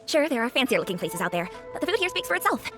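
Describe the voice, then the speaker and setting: Quick-talking, chatty restaurant owner. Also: female voice, high voice, very animated, over music. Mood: friendly. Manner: chatty, obliging, confiding. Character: friendly, chatty female neighbourhood restaurant owner. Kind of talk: video game voice acting.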